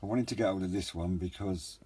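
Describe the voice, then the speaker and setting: Low-voiced man in a casual review. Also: a little fast, dark tone. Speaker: hobbyist radio reviewer. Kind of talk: casual, unscripted product review. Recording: clear background noise, room ambience.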